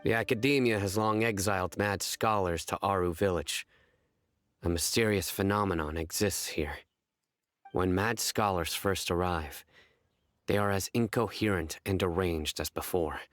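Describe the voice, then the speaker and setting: An actor voicing a composed, scholarly investigator in a video game, speaking in a formal, measured, articulate way. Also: male voice, low voice, a bit bright, no room sound, studio quality. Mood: solemn, storytelling. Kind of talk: scripted video game voice acting.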